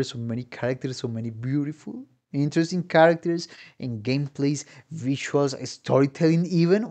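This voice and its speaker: A young man talks casually as he reacts, at a slow, unhurried pace with halting phrases and repeated words. Music plays behind him as he comments from a room at home. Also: medium pitch. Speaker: reaction video host, musician. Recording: game music playing behind. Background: game trailer music.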